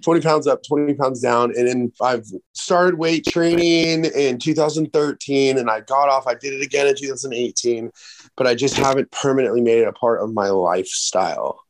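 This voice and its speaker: A man's voice: medium pitch, normal speed, low-quality recording. A man in his forties, a guest on a call, talking loosely and candidly with a nasal voice from a cold. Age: 40s. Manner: loose, candid, swears casually. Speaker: guest musician. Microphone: video call.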